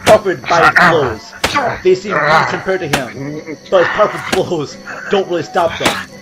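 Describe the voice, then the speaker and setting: A man's voice: fairly high pitch, animated, unhurried. A man giving grave, theatrical scripted narration for a horror audio drama. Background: loud music and sound effects.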